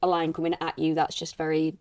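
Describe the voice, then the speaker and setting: Woman w a lowish voice, casual podcast guest, earnest n reflective, chatty. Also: completely flat delivery, fast, a little mellow. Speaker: actress being interviewed on a behind-the-scenes podcast, also a teacher. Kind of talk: casual chat.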